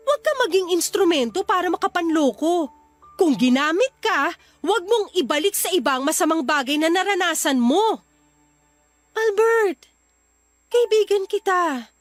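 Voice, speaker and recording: A woman playing a caring but exasperated friend in a Filipino radio drama, earnestly admonishing with a Filipino accent. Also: very high voice. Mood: earnest, pleading. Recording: dramatic music behind the voice.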